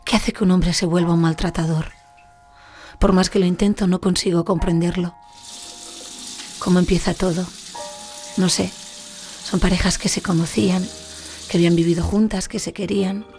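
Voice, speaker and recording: A woman's voice: lowish voice, warm tone. A woman narrating a documentary in a reflective, questioning way. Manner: questioning, musing.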